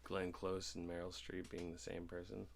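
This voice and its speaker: A man hosting a podcast in casual chat, halting and often repeating his words. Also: sits low, completely flat delivery, vocal fry, background noise. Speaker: podcast host.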